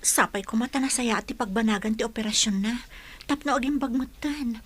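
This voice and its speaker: A woman plays an earnest, caring Ilocano teacher in a radio drama. Her voice sits somewhat high, and she talks conversationally, as a concerned colleague would. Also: fairly quick, a bit bright. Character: caring, earnest female special-education teacher, a loyal colleague.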